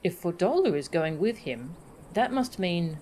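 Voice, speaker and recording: A woman's voice: lowish voice, sing-song, unhurried, dark tone, a little hiss or hum. A woman streaming a video game, giving casual live commentary and reading dialogue aloud.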